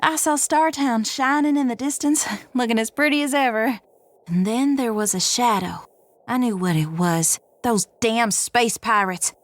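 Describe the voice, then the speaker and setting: A woman's voice: on the high side, unhurried, no room sound, lightly acted. A woman playing a folksy, plain-spoken and adventurous space cargo pilot in an audio drama, talking informally like a storyteller. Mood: irritated.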